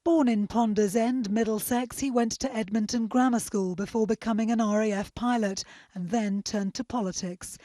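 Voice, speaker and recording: A woman with a fairly high voice reads a scripted news report. She narrates an obituary package as a reporter. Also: steady tone, moderate pace, few pauses, warm tone, no echo, studio quality.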